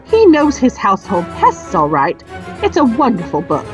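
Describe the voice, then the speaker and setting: A woman's voice: a little high, wildly swooping pitch, normal speed, over music. A woman voicing a bustling mother witch in a storybook, fussing and bossy but fond. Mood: gushing.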